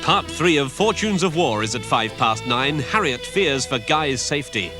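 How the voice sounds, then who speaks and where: Lively male continuity announcer over music. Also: medium pitch, moderate pace. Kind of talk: scripted programme trailer.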